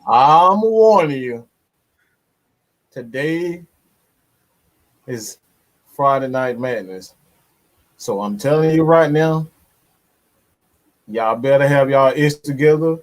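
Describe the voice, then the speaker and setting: A man's voice: mid-range voice, expressive, slow pace, long pauses. A man hosting a sports live stream in a casual, boastful, playfully threatening way, with slang, repeated phrases and swearing. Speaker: sports show host.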